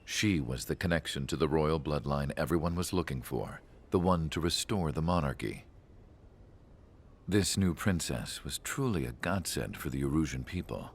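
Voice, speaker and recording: A man playing a solemn scientist who narrates a video game story in a measured, reflective way. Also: rumbling low, animated, slightly rough, exaggerated voice. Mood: wistful. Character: solemn older male research scientist recalling an ace pilot. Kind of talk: scripted dramatic cutscene narration.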